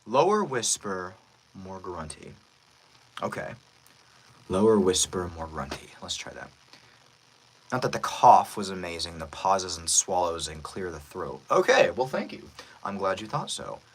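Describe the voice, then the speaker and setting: A man who is a voice actor, chatting casually with livestream viewers in an animated manner. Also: deep voice, unhurried, slightly rough, rough recording. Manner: animated, reads out viewer comments. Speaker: voice actor, streamer.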